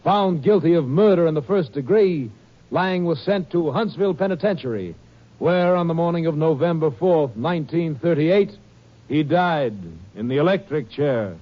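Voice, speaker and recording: A man's voice: on the high side, expressive, normal speed. A male announcer reading a formal, grave scripted epilogue in 1950s radio style. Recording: dull old radio broadcast.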